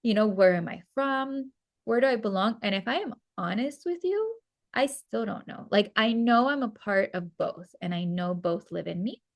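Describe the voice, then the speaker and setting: A woman's voice: fairly high pitch, expressive, moderate pace, no echo, studio quality. A woman raised between San Diego and Mexico, talking in a casual interview, earnest and reflective, often restarting her sentences. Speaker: actress guest.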